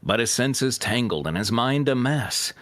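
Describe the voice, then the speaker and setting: A man with a lively, swinging delivery reads fiction aloud. He sounds amused by the text and chats casually between passages, in a close, dry recording. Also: medium pitch, a little slow, no echo. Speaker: narrator of a fanfiction reading show. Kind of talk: comic story read-aloud with casual banter.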